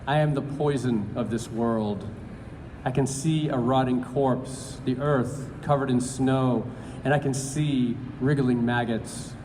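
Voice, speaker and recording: A man at a live event, reading poetry slowly and deliberately, with halting remarks full of fillers in between. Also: mid-range voice, a little mellow. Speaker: poetry reader. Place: large event hall. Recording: roomy hall with background noise.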